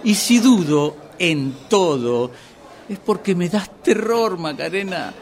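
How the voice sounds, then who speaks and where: A man's voice: high-pitched, lively intonation, takes it slowly, exaggerated voice. A man playing a hapless, wavering boyfriend in a radio comedy, speaking sheepishly and hesitantly with a Rioplatense accent. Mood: frightened, protesting. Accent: Rioplatense Argentine. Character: hapless, hungry, wavering boyfriend afraid of his girlfriend. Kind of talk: radio drama comedy acting.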